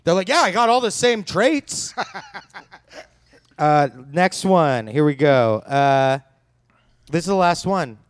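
A man's voice: high voice, animated, slow, long pauses. A man running a comedy quiz game on a live podcast stage, dry and jokey, speaking into a handheld mic. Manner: dry jokey quizmaster, chuckling. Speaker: game segment host. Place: stage before live audience.

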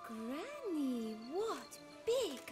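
Game casting: fairy-tale granddaughter, high mock-innocent voice, extremely slow sing-song, swooping theatrically. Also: female voice, sing-song, exaggerated voice. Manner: exaggerated sing-song, drawn-out. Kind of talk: video game fairy-tale play-acting. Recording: music under the voice.

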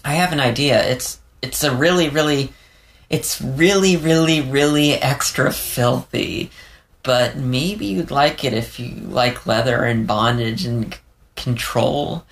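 A man's voice: medium pitch, measured pace, a bit bright, pitched above the performer's own voice. A man voicing a playful, candid young male fox in an audiobook story, rambling with hesitant stammers. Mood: nervous, excited.

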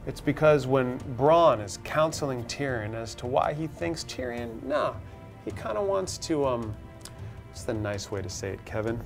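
A man's voice: medium pitch, animated, moderate pace, vocal fry, over music. A man in his thirties hosting a show with casual, joking banter. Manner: joking, conversational. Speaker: host of a cooking and TV recap show. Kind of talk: casual banter while cooking. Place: studio set at a table, indoors.

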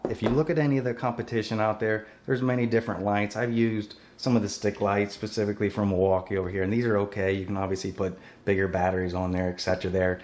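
A man's voice: on the low side, a little mellow. A man giving a casual hands-on demo of work lights in his garage workshop, speaking plainly and repeating filler phrases. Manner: plain-spoken, repeats "et cetera there". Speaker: tool reviewer.

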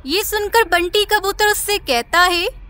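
Female cartoon story narrator, extremely high and bright, scripted delivery. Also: unhurried, no echo.

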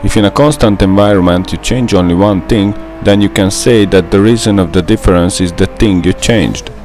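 A man's voice: sits low, normal speed, a little mellow, soft background music. A young man with a Hungarian accent, a native Hungarian speaker, narrating a gameplay tutorial in a careful, methodical way. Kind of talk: scripted gameplay voice-over.

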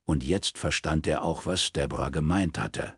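A man's voice: rumbling low, a little slow, vocal fry, husky, no echo, studio quality. A man narrating a crime novel as an audiobook, reading from a script.

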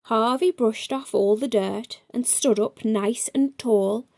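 A woman's voice: on the high side, takes it slowly, creaky, a little mellow. A middle-aged woman hosting a children's storytime, reading a picture book aloud expressively to young listeners.

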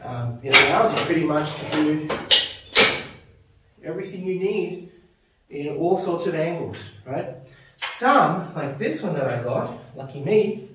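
A man's voice: fairly high pitch, expressive, a little slow, long pauses, background noise. Chatty man in an echoey home gym. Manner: chatty, rambling, tags sentences with "right?". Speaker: fitness video host. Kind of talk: casual equipment walkthrough. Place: small home gym with power rack, indoors. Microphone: camera mic from a distance. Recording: very echoey room, camera far off.

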